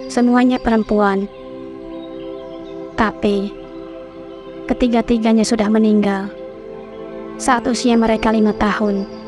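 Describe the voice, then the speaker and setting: A woman voicing an older village maid in a horror cartoon, telling things slowly and plainly. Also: a little high, little pitch movement, music in the background, lightly acted. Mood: guarded. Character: older village woman servant, calm and guarded.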